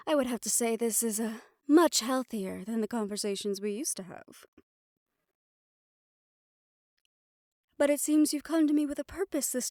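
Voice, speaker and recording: Woman acting a mellow, softly teasing reformed villainess doctor. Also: a little high, no room sound, clean studio sound, natural voice. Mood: gently welcoming. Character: reformed obsessive female doctor, teasing, probing, quietly caring. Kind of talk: fantasy audio roleplay acting.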